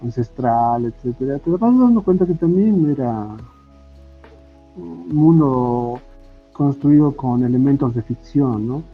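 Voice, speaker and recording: A man with a Bolivian accent talking casually as a podcast guest, halting and often ending phrases with a questioning tag. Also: medium pitch, animated, breathy edge. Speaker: podcast guest, comic artist and illustrator. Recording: muffled lo-fi audio with music. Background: background music.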